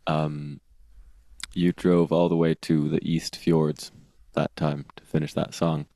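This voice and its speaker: A man with a very low voice chats casually as a podcast co-host, playful and quick to laugh. His sound is dry and close, with almost no room around it. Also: little pitch movement, vocal fry, mellow, dry recording. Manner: playful, laughs often, quotes sayings. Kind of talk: casual chat. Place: home studio on video call. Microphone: video call setup.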